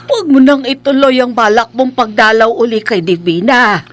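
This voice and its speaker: Woman as a worried, high-voiced pleading mother. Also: fairly even intonation, moderate pace, lightly acted. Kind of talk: Filipino radio drama acting. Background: faint music.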